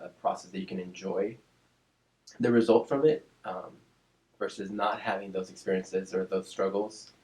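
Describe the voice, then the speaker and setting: Low-voiced man, thoughtful and measured. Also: darkish tone, reverberant, poor audio. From Oakland, California. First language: Spanish. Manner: thoughtful, measured, many ums and restarts. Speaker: interview guest, speech-language pathologist. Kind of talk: reflective casual interview.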